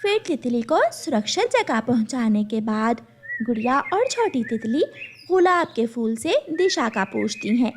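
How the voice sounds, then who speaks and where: A woman's voice: a little high, very animated, a little slow, slight background noise. A woman narrating a scripted children's story.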